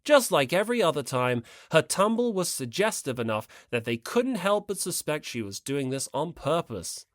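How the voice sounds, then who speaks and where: An expressive fiction narrator with a medium-pitched voice. The reading moves with lively intonation, scripted and story-like, in a close, dry recording. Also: normal speed, hardly any pauses, no echo. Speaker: audiobook narrator.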